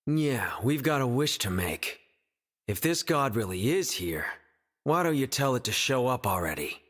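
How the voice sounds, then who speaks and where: A man's voice: medium pitch, big pitch swings, measured pace, a bit bright. A man playing a blunt, impatient teenage boy in a video game, speaking in a brusque, casual way. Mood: irritated, demanding.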